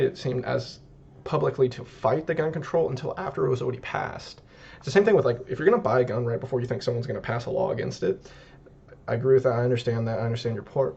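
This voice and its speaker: Fast-talking gravelly man on a podcast. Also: medium pitch, a little mellow, dull audio. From Northern California. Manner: rambling, self-correcting. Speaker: podcast co-host. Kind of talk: casual podcast chat.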